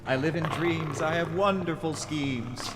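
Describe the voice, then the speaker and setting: A man as a grandiose dark lord in cavernous reverb. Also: a little high, unhurried, background noise, close to an everyday voice. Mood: hypnotic, gloating. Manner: theatrical, grandiose, commanding. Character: gloating, domineering dark lord who feeds on dreams. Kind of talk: audio adventure villain acting. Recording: long cavernous reverb, music underneath.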